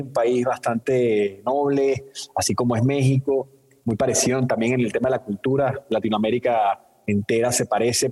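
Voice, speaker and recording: A man in his fifties with a Venezuelan accent talks through his answers, pausing on fillers and restarting his sentences. His voice has a slightly breathy, husky edge. The recording carries a noticeable lingering reverb. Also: lowish voice, darkish tone. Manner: thoughtful, with many fillers and restarts. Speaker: guest. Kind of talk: conversational interview answers.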